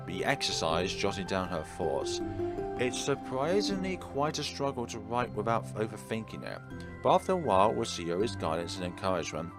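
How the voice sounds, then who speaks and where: Deep-voiced man narrating, with lively swings. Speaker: video game playthrough host. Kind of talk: reading a visual novel aloud with commentary. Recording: music behind the voice. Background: game music.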